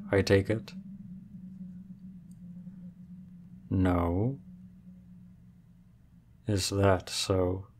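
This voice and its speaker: A man playing an aloof, regal dragon in human form for an ASMR roleplay, speaking in formal, measured, deadpan phrases. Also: deep voice, a little hiss or hum. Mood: suspicious, cool. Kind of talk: ASMR roleplay audio drama.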